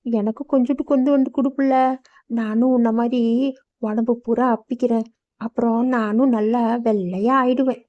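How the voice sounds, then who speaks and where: A woman voicing a loud, bossy village mother in a cartoon, scolding her son. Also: fairly high pitch, steady tone, normal speed, dull audio, close to an everyday voice. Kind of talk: cartoon voice acting.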